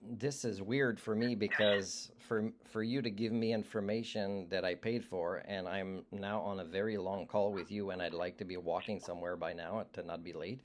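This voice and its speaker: A man with a fairly low voice presses a complaint as a customer on a recorded call. He is argumentative and aggrieved, and speaks haltingly. Faint noise and clicks sit on the recording. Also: fairly even intonation. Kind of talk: phone complaint.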